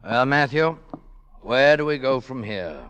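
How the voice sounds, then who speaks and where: An actor playing a world-weary, lonely middle-aged businessman in a measured, deliberate 1940s radio drama style. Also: mid-range voice, lively intonation, fairly bright tone, slight background noise. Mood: brisk, resolute. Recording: old radio recording with reverberant hall sound.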